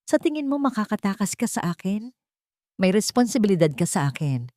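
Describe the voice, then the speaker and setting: A woman voicing a drunk, manipulative ex-boyfriend in an audio romance story, loud, desperate and demanding. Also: mid-range voice, big pitch swings, brisk, no room sound, clean studio sound, close to an everyday voice. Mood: angry, demanding. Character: drunk, menacing, manipulative ex-boyfriend, begging then raging.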